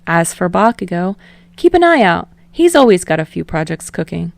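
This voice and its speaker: A woman's voice: lowish voice, very animated, moderate pace, gravelly, no echo. A woman voicing an upbeat, coy young male celebrity in an audiobook story, with a lightly teasing, buoyant manner.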